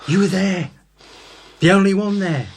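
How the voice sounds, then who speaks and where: A man's voice: high voice, normal speed, vocal fry, slightly rough, full character voice. A man playing an insistent police interrogator in a naturalistic radio drama. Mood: pressing, accusing.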